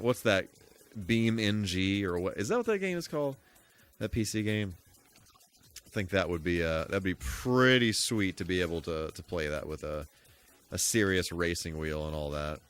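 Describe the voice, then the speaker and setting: Low-voiced man chatting on a game stream. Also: a bit bright, clear, resonant tone. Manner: rambling, thinking aloud. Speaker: video game live streamer. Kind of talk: casual live gameplay commentary and chat. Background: music behind the voice.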